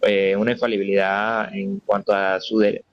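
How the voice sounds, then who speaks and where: A man's voice: fairly low pitch, level tone, moderate pace, muffled recording. A man taking part in a spontaneous religious discussion, searching hesitantly for precise wording as he reasons. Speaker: debate participant.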